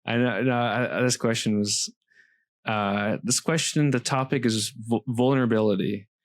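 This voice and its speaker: A man hosting a podcast interview, relaxed and encouraging, poking fun at his own questions. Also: mid-range voice, moderate pace, no room sound, clean studio sound. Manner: relaxed, encouraging, self-deprecating. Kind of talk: casual interview chat.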